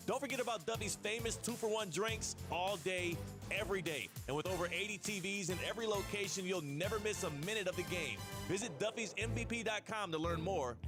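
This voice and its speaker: Male American ad announcer, high-pitched, scripted sell over a clear music bed. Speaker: commercial announcer. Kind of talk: scripted radio ad read.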